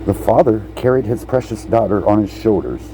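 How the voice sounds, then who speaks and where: A man reading a children's picture book aloud in a halting way, repeating words and slipping in playful asides to the listener. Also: lowish voice, warm tone, a little hiss or hum, low-quality recording. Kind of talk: storybook read-aloud with casual asides.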